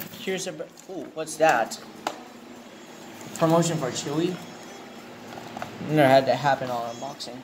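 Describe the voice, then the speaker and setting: A man with a fairly high voice talks through an unboxing, excited and thinking aloud as he goes. He films on a handheld phone in a tiled indoor room. Steady room noise sits clearly under his voice. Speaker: unboxing video host. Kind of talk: casual unboxing narration. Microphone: handheld phone camera. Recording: noisy room ambience.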